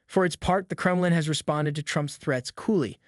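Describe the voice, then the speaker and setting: A man's voice: on the high side, steady tone, normal speed, dry recording, studio quality. A man reading a news article aloud as scripted narration.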